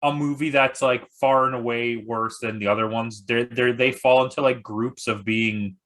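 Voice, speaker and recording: Male movie-critic guest, mid-pitched, bright forceful voice, blunt and dryly funny in loose conversation. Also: fairly even intonation, moderate pace. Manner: blunt, dry joking. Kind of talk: casual chat.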